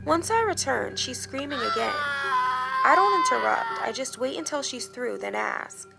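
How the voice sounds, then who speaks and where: Higher-pitched woman narrating, slightly creaky voice. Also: lively intonation, moderate pace, low-quality recording. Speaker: horror story narrator. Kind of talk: scripted dramatic first-person narration. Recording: background music under the voice. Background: music.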